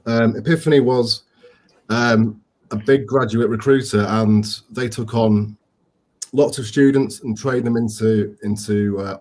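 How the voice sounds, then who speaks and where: A man in his forties with a Leeds accent, a company co-founder speaking on an online panel, relaxed, with frequent ums and restarts. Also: on the low side. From England. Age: 40s.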